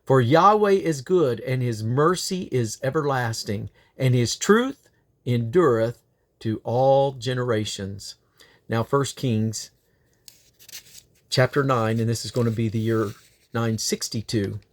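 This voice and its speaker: A man's voice: mid-range voice, takes it slowly. A man reading scripture aloud in a solemn, declamatory way, with the occasional slip and correction. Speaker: Bible reader.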